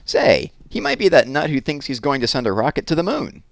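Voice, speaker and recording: A man's voice: a little high, huge pitch swings, a little fast, creaky, no room sound, clean studio sound, voice pushed up, full character voice. A man voicing a blunt, skeptical small-town policeman in a story read aloud. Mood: mocking.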